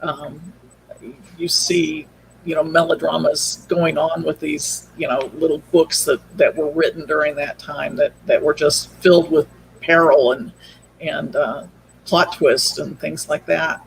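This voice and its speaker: A man's voice: fairly high pitch, little pitch movement, measured pace, gravelly, a little hiss or hum, rough recording. A man speaking as an interview guest, explaining things in a casual, rambling way. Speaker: podcast guest, audio drama creator.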